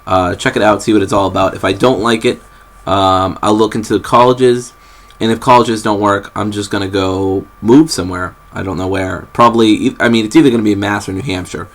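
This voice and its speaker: A man's voice: fairly low pitch, steady tone, brisk. A young man from New England giving a hesitant, rambling life update to his viewers, full of ums and restarts. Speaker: vlogger. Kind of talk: casual rambling update to camera.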